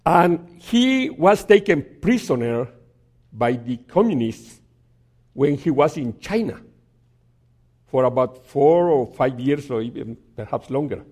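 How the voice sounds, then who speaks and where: A man giving a sermon as a guest preacher in a church, telling a story in a halting way with many restarts and some gentle humor. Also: mid-range voice, expressive, slightly rough.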